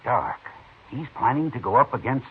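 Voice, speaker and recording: An American actor playing a proud, overbearing middle-aged colonel and cattleman in a 1950s radio western, gruff, haughty and scornful. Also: medium pitch, lively intonation, moderate pace. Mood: scornful, blustering. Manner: blustering, haughty. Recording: thin phone-like old radio broadcast.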